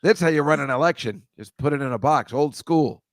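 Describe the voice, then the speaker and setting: A man's voice: fairly high pitch, brisk, no echo. A man hosting a casual comedy chat, wry and rambling, often laughing at his own jokes. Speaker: host.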